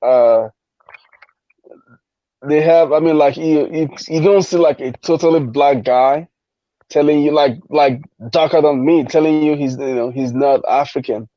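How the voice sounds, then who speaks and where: Man, hesitant caller, higher voice, mellow dark tone, dry muffled line. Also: fairly even intonation, a little slow, no echo. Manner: hesitant, laughs, trails off with "like". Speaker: guest caller. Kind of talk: casual call discussion.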